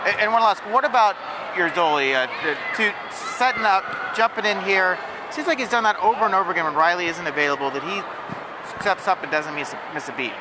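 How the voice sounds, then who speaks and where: A man in his thirties interviewing players after a game, asking halting questions with repeated starts, on a lapel mic at an indoor arena. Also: sits high. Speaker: sports reporter. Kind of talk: postgame interview. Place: indoor arena floor, crowd around. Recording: noisy arena with crowd.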